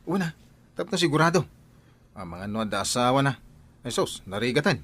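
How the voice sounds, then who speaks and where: A man with an Ilocano accent playing a curious, flustered young man in an audio drama, with a husky voice. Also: mid-range voice, sing-song, a little fast, a bit bright. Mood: curious, doubting. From Philippines.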